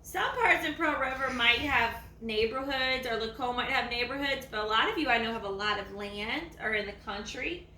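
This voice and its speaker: Southern us lady teacher reading a kids book, high voice, lots of little questions, echoey room. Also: moderate pace, few pauses, distant mic. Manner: animated child-directed explaining with questions. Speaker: teacher reading to young children. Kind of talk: children's story read-aloud. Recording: echoey room, faint background noise.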